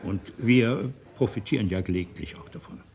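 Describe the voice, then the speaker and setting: A man's voice: lowish voice, brisk, vocal fry, darkish tone. A man playing a middle-aged company boss and father in a German radio drama, self-righteous and manipulative, with a patronizing, rhetorical manner. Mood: persuasive, exasperated. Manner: rhetorical, patronizing, swinging from reasoned to indignant.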